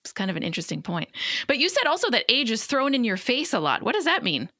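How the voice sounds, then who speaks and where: Woman in her late thirties, podcast host, bright tense voice, attentive, dry close sound. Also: fairly high pitch, very animated, fast, no room sound. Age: late 30s. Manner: attentive listener, lots of "mm-hmm", clear storytelling. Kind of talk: interview conversation.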